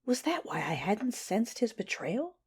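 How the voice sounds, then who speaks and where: Husky, intense female Sith warrior. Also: medium pitch, big pitch swings, unhurried, heavily acted. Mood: hurt, disbelieving. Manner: intimate, breathy, intense delivery. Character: passionate young female Sith warrior, wounded and fierce. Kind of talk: first-person dramatic narration in an audio drama.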